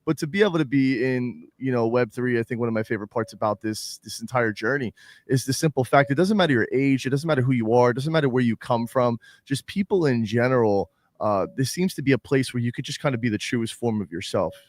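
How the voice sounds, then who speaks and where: A man's voice: medium pitch, fast, no room sound, clean studio sound. A man co-hosting a casual podcast chat, talking in long rambling, enthusiastic runs.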